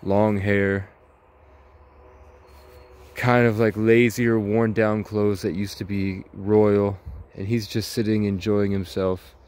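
A man in his twenties giving a slow, rambling monologue in short, halting phrases while walking outdoors. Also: lowish voice, level tone, a little mellow. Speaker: vlogger. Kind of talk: slow rambling monologue, ASMR-style walk.

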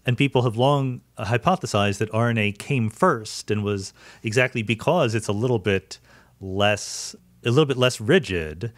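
Low-voiced curious male podcast host. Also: normal speed, no echo. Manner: curious, thinks aloud with fillers. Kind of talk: conversational science interview.

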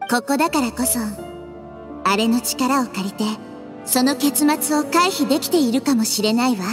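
A woman voicing a small, childlike goddess in a video game, wise and gentle, explaining things calmly and thoughtfully. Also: sits high, moderate pace, over music, natural voice. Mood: calm, explanatory. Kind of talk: video game character acting.

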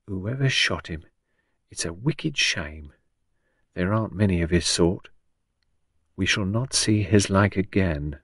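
A man's voice: medium pitch, sing-song, normal speed, dry recording, clean studio sound, voice pushed up. A man voicing a shrewd, blunt old working-class woman patient in an audiobook, chatty and colloquial with folksy endearments. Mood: wary, low. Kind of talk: audiobook character dialogue.

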